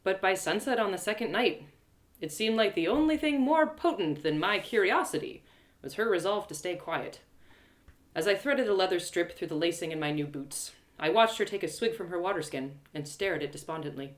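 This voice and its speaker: A woman reading a first-person fantasy story aloud as an expressive storyteller. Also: on the low side, fairly quick. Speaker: storyteller, audio drama writer and reader.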